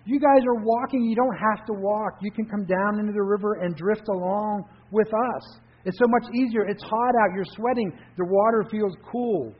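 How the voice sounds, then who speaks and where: Give me an earnest, curious young river dweller, voiced by a man, husky and high. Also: animated, moderate pace. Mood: pleading. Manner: eager, pleading, raises pitch high. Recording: dull lo-fi recording.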